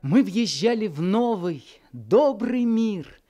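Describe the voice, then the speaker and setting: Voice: medium pitch, expressive, slow pace, mellow. An actor voicing a teenage boy who narrates his own story in an old radio play, in a wistful storytelling manner.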